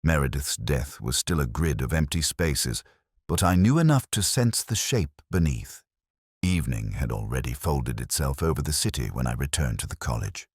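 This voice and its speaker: Male narrator, very deep, expressive pitch, for brooding first-person detective fiction. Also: normal speed, slightly rough, dry recording, clean studio sound.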